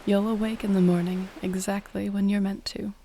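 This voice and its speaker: A woman playing a gentle, serene mermaid in a sleep-aid audio roleplay, soft-spoken, soothing and slightly formal. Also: mid-range voice, level tone, normal speed, close to an everyday voice. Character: gentle, serene female mermaid guarding a sea cove. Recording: faint ambient ocean noise behind.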